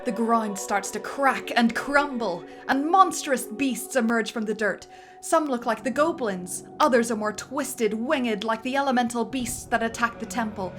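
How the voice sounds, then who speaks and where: Woman narrator, script directions, vivid, slightly high voice, strong music bed. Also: a little slow. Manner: vivid, building to loud action beats. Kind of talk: dramatic script reading. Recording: music underneath.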